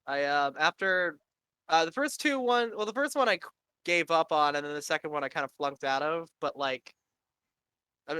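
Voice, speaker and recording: A man's voice: bright, forceful tone, clear, resonant tone, dry recording. A man in his twenties from Canada, hosting a casual chat and rambling with swearing and laughs. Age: 20s. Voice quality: high-pitched for a man. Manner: rambling and profane, quick to laugh. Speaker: podcast host.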